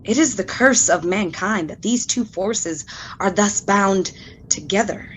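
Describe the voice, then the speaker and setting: A woman's voice: mid-range voice, a little slow, slight background noise, lightly acted, rough recording. A woman playing a brilliant, tormented scientist in a radio play, delivering a formal, grave and intense monologue. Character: brilliant, tormented scientist musing on human nature. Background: music.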